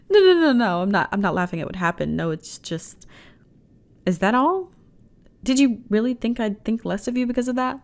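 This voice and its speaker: A woman's voice: on the high side, expressive, moderate pace. A woman playing a flirty, reassuring alien starship officer in an intimate ASMR roleplay, talking in a chatty, teasing way. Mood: amused, flirty. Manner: teasing, chatty, conversational with sighs and soft laughs.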